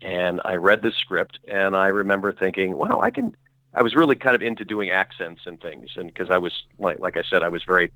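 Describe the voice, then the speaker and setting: A middle-aged man recalls his career in loose, meandering sentences, circling back and restarting as he goes. His voice sits a little low but rings bright and forceful. The sound is dry and close, with no room around it. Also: sing-song, brisk, dry recording, poor audio. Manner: rambling, self-correcting, with frequent fillers. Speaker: actor guest. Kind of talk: relaxed conversational storytelling.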